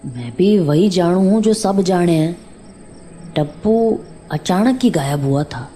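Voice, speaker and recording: A woman's voice: fairly low pitch, animated, slow pace, mellow, slight background noise. A woman playing a guarded older village caretaker in an audio horror drama, with a rural Haryanvi accent and plain-spoken manner. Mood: guarded, uneasy. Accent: Haryanvi rural Hindi. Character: reticent older female house caretaker, wary, motherly.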